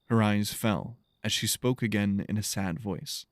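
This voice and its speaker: A man narrating a scripted story in a measured, solemn storytelling manner. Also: fairly low pitch, dry recording, clean studio sound.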